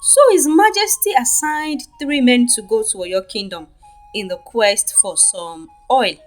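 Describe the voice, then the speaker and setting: A woman with a Nigerian accent narrating a scripted folktale for children in an expressive, deliberate way. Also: fairly high pitch, soft background music. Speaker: storyteller.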